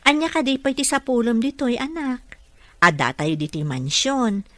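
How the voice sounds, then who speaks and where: A woman's voice: fairly high pitch, lively intonation, normal speed, no room sound, lightly acted. An actress with an Ilocano accent playing a caring, respectful nurse and mother in a radio drama. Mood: tender.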